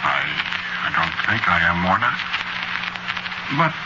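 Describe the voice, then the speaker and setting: Soft-spoken husband, mild, measured, lively intonation, 1940s radio play, muffled old recording. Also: male voice, deep voice, heavy background noise, full character voice. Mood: quiet, reflective. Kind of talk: 1940s radio drama acting, with narration.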